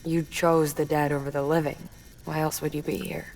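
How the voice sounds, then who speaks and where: A woman's voice: low-pitched, flat delivery, normal speed, darkish tone, slight background noise. An actress playing a reserved, candid and caring woman scientist in a video game cutscene. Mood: cool, matter-of-fact. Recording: music behind the voice.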